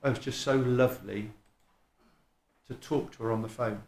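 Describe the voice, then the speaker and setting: A middle-aged man with an East Midlands accent tinged with Welsh, preaching a sermon at a lectern in a small church hall, animated and chatty, acting out what people say. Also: mid-range voice, moderate pace, slight background noise. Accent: East Midlands English with some Welsh. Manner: animated, conversational, self-mocking, acts out people talking. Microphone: stand mic at a lectern, filmed by a camera across the room.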